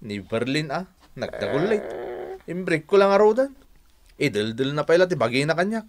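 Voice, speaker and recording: A man playing an excitable, easily disappointed young bachelor in a radio drama, with an Ilocano accent. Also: on the high side, normal speed. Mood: dejected.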